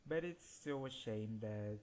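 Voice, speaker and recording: A man's voice: lowish voice, a little slow, darkish tone. A man whose first language is Portuguese, giving laid-back gameplay commentary and chuckling often as he talks. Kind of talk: casual let's-play commentary.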